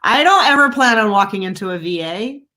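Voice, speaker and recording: Bright-voiced woman podcast host. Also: mid-range voice, expressive, a little fast. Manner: agreeable, chatty, often laughing along. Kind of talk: casual chat.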